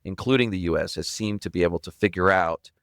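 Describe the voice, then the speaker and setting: A man's voice: low-pitched, fast, dry recording, studio quality. A man hosting a podcast, talking in long, emphatic statements. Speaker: podcast host. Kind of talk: podcast interview.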